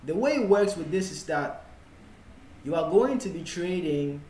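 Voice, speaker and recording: A man with a Ghanaian accent giving an unscripted explanatory tutorial in a deliberate manner, in short broken phrases. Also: fairly high pitch, expressive, mellow. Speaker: forex trading tutor. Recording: echoey room with faint background noise.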